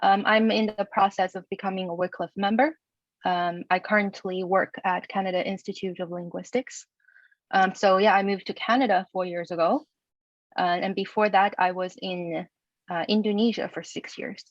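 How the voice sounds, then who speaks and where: A woman's voice: mid-range voice, steady tone, normal speed, no echo. A woman from East Asia introduces herself on an online panel in a plain, matter-of-fact way. Her video call audio sounds dry and dull, with no room sound around her.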